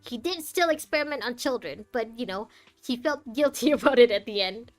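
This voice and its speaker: A woman giving live playthrough commentary, chatting casually to viewers and reacting aloud in a jokey, expressive way. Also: fairly high pitch, brisk, dry recording. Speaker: game streamer. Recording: music behind the voice. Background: game music.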